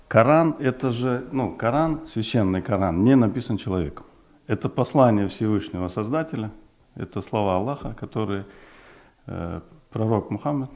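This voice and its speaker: Uzbek-native guy in his 40s, low voice, lots of um and restarts, studio desk mic. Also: dark tone. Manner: thoughtful, hesitant, many fillers and restarts. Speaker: project developer guest. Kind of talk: studio interview. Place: small television studio. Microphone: desk mic on stand.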